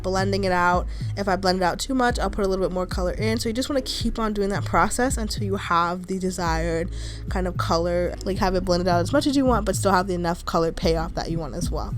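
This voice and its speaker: A woman's voice: lowish voice, brisk, soft background music. Stuffy-nosed young woman narrating makeup. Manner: chatty, reassuring, with frequent "like" and "kinda". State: stuffy nose from a cold. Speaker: beauty vlogger. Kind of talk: casual makeup tutorial voice-over.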